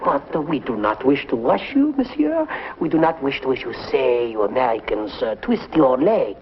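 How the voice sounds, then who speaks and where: A man playing a gushing French gentleman. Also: medium pitch, very animated, normal speed, slight background noise, lightly acted. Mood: courtly. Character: suave excitable Frenchman, middle-aged male author. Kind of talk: 1950s sitcom acting. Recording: lo-fi vintage TV audio with music.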